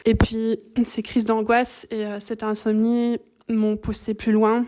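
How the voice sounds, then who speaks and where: A middle-aged woman from Belgium, a native German speaker, telling her story calmly and thoughtfully in a podcast interview. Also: a little high, measured pace. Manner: calm, thoughtful, searching for words. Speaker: guest, shiatsu practitioner.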